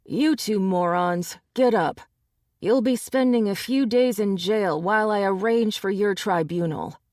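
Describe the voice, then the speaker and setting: A cold male shapeshifter enforcer, put on by a woman reading an audiobook. He threatens slowly and evenly, every word a warning. The recording is close and dry. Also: mid-range voice, a little mellow, no echo, natural voice. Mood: menacing.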